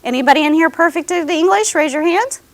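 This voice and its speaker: Woman, career presenter, high voice, very animated, dry close sound. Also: fast, no room sound. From American South. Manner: animated, addresses students directly, checks in with "okay?". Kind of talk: lively classroom presentation. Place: classroom with students.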